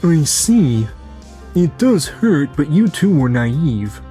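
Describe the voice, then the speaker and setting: A man's voice: fairly high pitch, very animated, slow, warm tone, faint music behind. A man voicing a stern, matter-of-fact boss in a manga dub. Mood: stern, unmoved.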